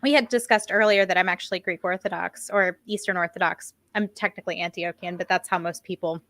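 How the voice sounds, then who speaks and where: A woman's voice: fairly low pitch, fairly even intonation, fast talker, a bit bright, no echo. Chatty woman podcast host. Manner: chatty, self-deprecating, many fillers. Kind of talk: casual podcast conversation.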